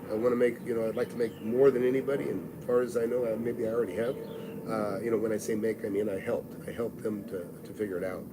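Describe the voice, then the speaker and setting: A man's voice: lowish voice, little pitch movement, fast talker, noisy background. A man in his sixties and a real estate mentor who hosts a live advice stream, talking in a blunt, folksy, salesy way and often restarting his sentences. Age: 60s.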